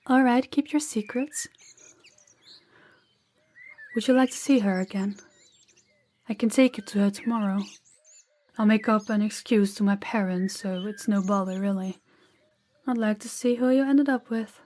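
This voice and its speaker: A woman playing a gentle, candid young princess in an intimate audio roleplay, soft-spoken and confiding with hesitant pauses. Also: medium pitch, lightly acted. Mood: gently teasing, kind.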